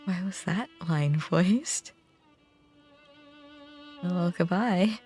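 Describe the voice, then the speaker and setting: Woman streaming over clearly audible game music. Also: fairly low pitch, very slow, a little mellow. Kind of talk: live game playthrough, reading dialogue aloud with casual reactions. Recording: music in the background.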